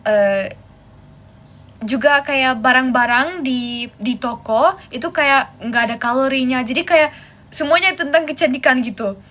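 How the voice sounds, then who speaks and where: A woman's voice: sits high, a little slow, bright, forceful tone. A woman from Germany, chatting casually and talkatively to viewers as a livestream host. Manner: chatty, switches between languages. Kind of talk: casual chat with viewers while doing makeup.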